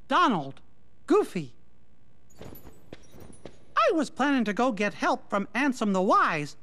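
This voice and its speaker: A man voicing a small cartoon mouse king for a video game, folksy, gentle and earnest in manner. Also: extremely high pitch, full character voice. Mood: resolute. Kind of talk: video game cutscene acting.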